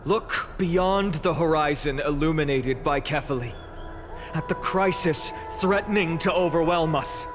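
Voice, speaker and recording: A solemn young hero, voiced by a man, speaks to a whole city in a game cutscene. His voice sits high and his delivery is slow and deliberate, each phrase weighed. Orchestral music plays strongly beneath him. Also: natural voice. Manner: solemn, impassioned public address. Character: young male hero, earnest orator addressing a city.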